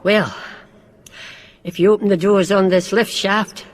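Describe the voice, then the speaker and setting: A woman acting in a radio drama as an eerie, insistent female guide who speaks curtly and flatly. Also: mid-range voice, measured pace, lightly acted. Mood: insistent, menacing. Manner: curt, flat, insistent. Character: Martha, terse, eerie, insistent female guide in a derelict hospital. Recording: long echoing reverb, faint background noise.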